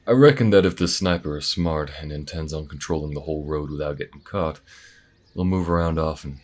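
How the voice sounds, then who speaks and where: A man playing a laconic, tactical alien bounty hunter in an audio roleplay, with a low gruff voice and a measured, folksy way of thinking aloud. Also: darkish tone. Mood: calm, reasoning.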